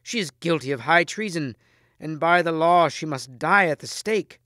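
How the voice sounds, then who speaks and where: Man acting a high-pitched, vindictive wounded knight. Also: fairly even intonation, normal speed, smooth, no room sound, studio quality, lightly acted. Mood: accusing. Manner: urgent, accusing.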